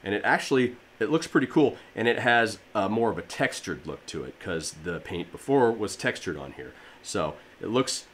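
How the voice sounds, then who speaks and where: A man in his thirties with a slightly raspy voice, casually explaining a DIY project to camera in his home garage gym, with plenty of fillers. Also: on the low side, a bit bright, a little hiss or hum. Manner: rambling, filler-heavy, friendly explainer. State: allergies. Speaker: fitness DIY channel host. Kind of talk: casual how-to talk to camera.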